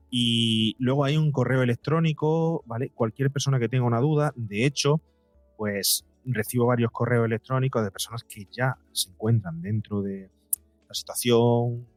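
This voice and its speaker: A man with a mid-pitched voice talks as an interview guest. He explains in a wordy, halting way, checking in with short tag questions. The sound is dry, with faint music underneath. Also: dry recording. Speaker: interview guest, psychologist and podcaster.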